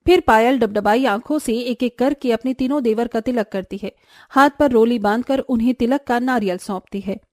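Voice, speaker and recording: A woman's voice: on the high side, moderate pace, no echo, studio quality. A woman reading scripted narration for a cartoon family story.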